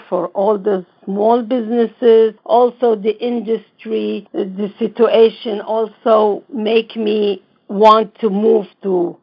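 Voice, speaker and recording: A woman from the Middle East talks from home over a remote call, her English non-native and chatty, with frequent light laughter. The audio is slightly muffled and lo-fi, and her pitch sits in a middle range. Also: creaky. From Middle East, born in Lebanon, raised partly in Israel. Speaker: fashion designer and brand owner, guest. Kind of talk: casual interview chat.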